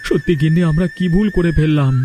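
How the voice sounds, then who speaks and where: A man's voice: a little high, fast talker, dark tone, soft background music, lightly acted. A man voicing a poor, humble male yogurt seller in a cartoon, with a deferential, plaintive manner. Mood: dejected. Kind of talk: cartoon character dialogue.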